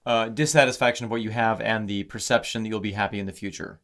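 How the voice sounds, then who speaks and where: A man co-hosting a casual podcast, talking excitedly about song lyrics with many restarts; English is not his first language. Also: lowish voice, fast talker. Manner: animated, exclamatory, lots of restarts. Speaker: podcast co-host.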